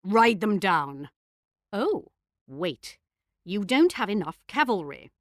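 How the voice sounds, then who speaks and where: English queen, British accent, proud, gracious, formal, theatrical game delivery, lively intonation, dry studio sound. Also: mid-range voice, slow pace, dry recording. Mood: commanding, confident. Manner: formal, poised, theatrically regal, lilting. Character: regal English queen, gracious, proud, politely cutting war ally. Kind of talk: scripted strategy game voice lines.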